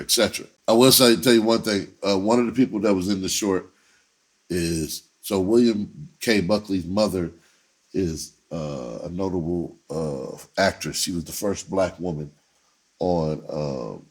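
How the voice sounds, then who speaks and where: A man's voice: low-pitched, moderate pace, slightly rough. A middle-aged man telling a story casually, in a rambling, loose, slangy way. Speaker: entertainer and filmmaker telling his own story.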